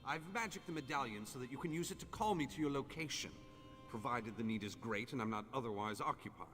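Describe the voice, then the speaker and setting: A man's voice: mid-range voice, fast talker, a bit bright, close to an everyday voice. An actor playing a polite, formal male mage in a fantasy video game. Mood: courteous. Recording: long hall reverb, faint music behind.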